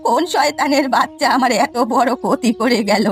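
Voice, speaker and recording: A woman's voice: high-pitched, brisk, close to an everyday voice. A woman voicing a bitter, suspicious old village granny in a cartoon folk tale, scolding and lamenting. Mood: wailing, bitter. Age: older. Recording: music behind the voice. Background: music.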